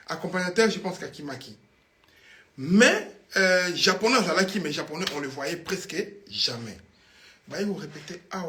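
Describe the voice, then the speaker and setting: Man, Congolese French accent, high voice, rambling, chatty, studio interview, faint hiss. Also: a bit bright. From Congo (Kinshasa). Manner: rambling, mixes French and Lingala, hums song snippets. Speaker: guest musician. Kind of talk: casual studio interview storytelling.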